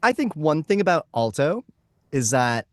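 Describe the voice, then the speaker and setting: Playful man chatting, very animated pitch. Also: normal speed, no room sound. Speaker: voice actor, interview guest. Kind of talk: casual interview chat.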